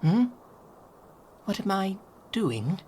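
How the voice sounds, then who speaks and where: A man voicing a regal, gentle owl demon prince in an ASMR audio roleplay, speaking softly and theatrically and slipping into whispers. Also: sits high, very animated, extremely slow, background noise, heavily acted. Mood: gently lecturing. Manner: soft-spoken, theatrical, drifting into whispers. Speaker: voice actor in fan audio roleplay.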